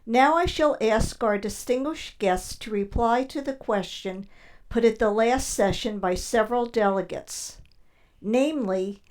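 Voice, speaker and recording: A clinical scientist with a fairly high voice, acted by a woman in a radio play. She explains each step in precise, formal terms. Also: a little mellow, close to an everyday voice. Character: clinical female scientist conducting a formal test. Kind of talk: community radio theater acting.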